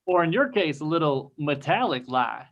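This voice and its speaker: A man's voice: fairly high pitch, animated, moderate pace, lo-fi sound. A man playing a goofy, self-deprecating admirer with corny delivery in a comedy table read over a video call. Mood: flirty, upbeat. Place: room at home, video call. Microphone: video call computer microphone.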